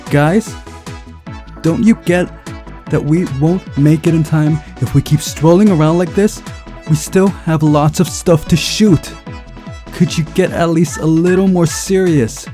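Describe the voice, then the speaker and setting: A man's voice: a little high, fairly even intonation, unhurried, dark tone, soft background music, pitched above the performer's own voice, lightly acted. A man voicing an exasperated, bossy woman film director in a visual novel, scolding and weary.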